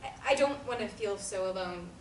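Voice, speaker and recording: A woman's voice: mid-range voice, normal speed, close to an everyday voice. An actress reading a lonely, hesitant young woman in a table read. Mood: shy. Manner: hesitant, soft-spoken. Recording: roomy audio from far off, faint noise.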